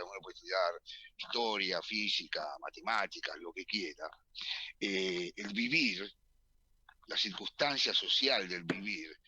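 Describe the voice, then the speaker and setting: Bright-toned male interviewer. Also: lowish voice, slightly rough. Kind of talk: interview commentary.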